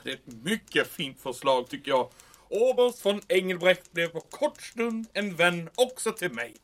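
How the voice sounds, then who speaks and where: Man acting a high-pitched sentimental major. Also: very animated, fairly bright tone. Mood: solemn. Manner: peppers Swedish with German words. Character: sentimental German army major in occupied Sweden. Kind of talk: comedic audio drama acting.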